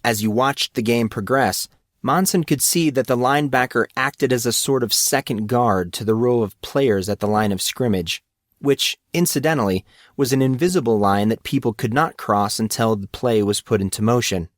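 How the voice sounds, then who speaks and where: A male narrator reads a scripted story at a medium pitch. His voice is recorded very dry, with little trace of room. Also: normal speed, no room sound.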